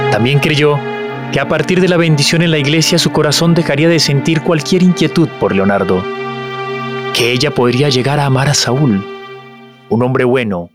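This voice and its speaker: A man narrating a scripted audio drama in the storyteller's role. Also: medium pitch. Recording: loud music behind narration.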